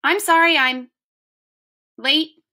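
A woman's voice: high-pitched, takes it slowly, pushed and bright, pitched above the performer's own voice, exaggerated voice. A woman voicing a tired, dutiful dad in a children's storybook, with earnest emphasis. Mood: weary, apologetic. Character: tired, apologetic dad and town gardener in a storybook.

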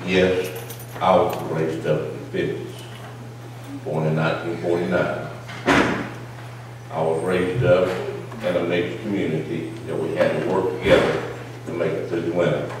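A man's voice: deep voice, level tone, measured pace, pauses often, noisy background. Man in his 60s preaching in an echoey church. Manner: preacherly, building to emphatic declarations. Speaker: audience member at a town hall. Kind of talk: impassioned public speech. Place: large church sanctuary. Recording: echoey church hall from far off.

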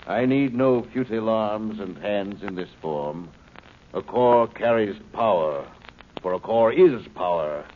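An actor playing a cold, superior alien being in a human body, speaking precisely and with detachment, in an old 1950s radio drama. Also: male voice, lowish voice, animated, measured pace. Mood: detached. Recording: dull old radio broadcast with faint hiss.

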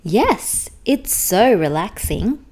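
A woman's voice: medium pitch, sing-song, slow, darkish tone, pitched below the performer's own voice. A woman voicing a vain, fussy, prim female unicorn with dramatic delivery for a children's picture book. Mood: smug.

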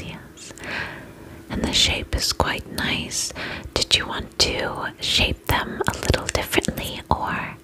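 A woman whispering in an ASMR role-play as a spa attendant, gentle, soothing and reassuring, speaking in short phrases with pauses. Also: poor audio. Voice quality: unvoiced whisper.

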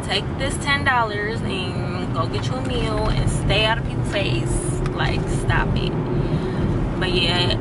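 A young woman vlogging from her car at night, chatting bluntly and swearing freely, with the camera held at arm's length. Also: medium pitch. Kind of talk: casual vlog chat. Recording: road noise from a distant mic. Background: car and street noise.

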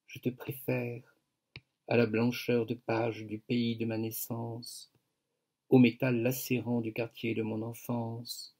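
Older man reciting slowly, flat and husky. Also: medium pitch. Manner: slow, even, measured recitation. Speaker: author reading his poem. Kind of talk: slow poetry reading. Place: home study with bookshelves, indoors. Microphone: webcam on a video call.

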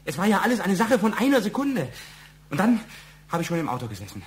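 A man acting in a German audio drama as a fearful, indignant family man under suspicion, halting and repeating his words. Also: high-pitched, big pitch swings. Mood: anguished confession. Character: flustered, fearful, indignant male murder suspect and family man.

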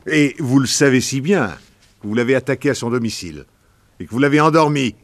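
A man's voice: mid-range voice, expressive, a little fast, dry recording, natural voice. An actor in a French radio drama playing a sarcastic, blustering male sheriff. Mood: accusing. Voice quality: mocking, blustering. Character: gruff sarcastic male small-town sheriff.

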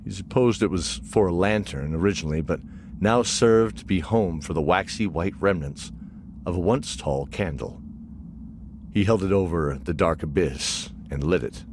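A man's voice: sits low, normal speed, background noise. A man narrating a scripted fantasy audio drama as its storyteller. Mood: measured storytelling.

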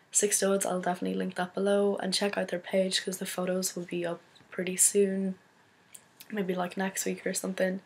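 Hoarse Irish woman with a cold. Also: on the low side. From Donegal, Ireland. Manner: chatty, rambling, many fillers. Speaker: photographer vlogger. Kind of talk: casual chat to camera. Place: small indoor bedroom. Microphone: laptop webcam.

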